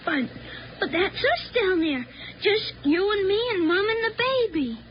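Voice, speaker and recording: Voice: very high-pitched, big pitch swings, a little slow, exaggerated voice. A performer playing an eager, polite, curious little boy in a 1950s radio drama. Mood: bewildered. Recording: dull 1950s radio recording, faint noise.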